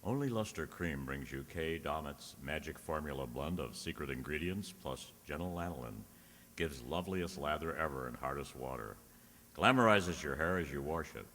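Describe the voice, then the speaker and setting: Casting: male old-time radio pitchman, very low bright voice, emphatic, recorded in a reverberant hall. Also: animated, normal speed. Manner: emphatic, salesmanlike. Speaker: radio announcer. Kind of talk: old-time radio announcing and commercial read. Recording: reverberant hall, clear background noise.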